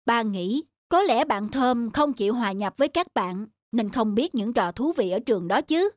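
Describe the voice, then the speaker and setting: A man voicing a patient, gentle father of young boys in an animated family story, explaining and coaxing. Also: very high-pitched, moderate pace, no room sound, studio quality. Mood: reassuring. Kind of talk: animated family drama acting. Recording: music under the voice.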